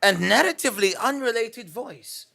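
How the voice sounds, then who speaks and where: A man playing a bemused investigative journalist, deliberately recounting a strange journey as audio drama narration. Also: very high-pitched, lively intonation, creaky, pushed and bright, exaggerated voice. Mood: bemused, eerie.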